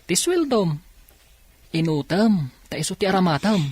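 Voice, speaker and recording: A woman with a husky voice playing a caring, coaxing young factory worker in a radio drama. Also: on the low side, sing-song, moderate pace, a little mellow, no room sound. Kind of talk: Ilocano radio drama acting.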